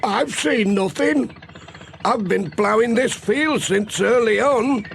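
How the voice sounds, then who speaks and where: A man acts out a plain-spoken country farmer for a children's audiobook. The voice is pushed up high and the words come slowly, drawn out. Faint noise sits behind it. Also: gravelly, exaggerated voice. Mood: puzzled. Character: slow, worried male farmer on his tractor. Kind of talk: children's audiobook character acting.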